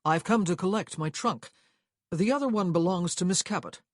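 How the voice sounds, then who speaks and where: A woman voicing an impatient, short-tempered gentleman traveller in an audiobook, curt and insistent. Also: fairly low pitch, moderate pace, dry recording, studio quality, voice pushed up, close to an everyday voice. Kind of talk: acted audiobook dialogue.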